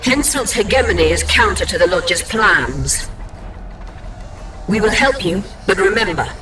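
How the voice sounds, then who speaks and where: An actress playing a stern, calculating sorceress of a secret lodge in a video game, speaking formally. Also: female voice, medium pitch, lively intonation, measured pace, natural voice. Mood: guarded. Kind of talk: dramatic video game acting. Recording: distant voice, music behind.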